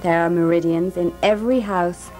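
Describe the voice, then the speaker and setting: A woman, a healthcare practitioner, giving calm, measured, scripted narration for a documentary. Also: fairly low pitch, over music, low-quality recording.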